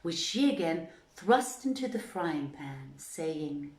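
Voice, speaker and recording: Slow-paced woman storyteller in an echoing room. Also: sits low, big pitch swings, a little mellow. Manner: measured, dramatic, deliberate phrasing. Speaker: storyteller, reader. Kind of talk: literary storybook narration.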